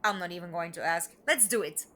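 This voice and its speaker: A woman's voice: fairly low pitch, brisk, noisy background, lightly acted. A woman playing a curious, eager young alien travelling companion in an audio drama, wide-eyed and enthusiastic. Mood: hesitant, game.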